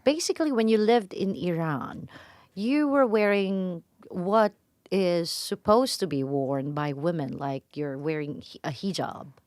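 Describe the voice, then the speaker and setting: A woman's voice: fairly low pitch, expressive, unhurried, no room sound. A woman hosting a radio interview in a studio, prompting her guest with frequent "mm-hmm"s. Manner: lots of "mm-hmm" backchannels, warm encouraging interjections. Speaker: radio host.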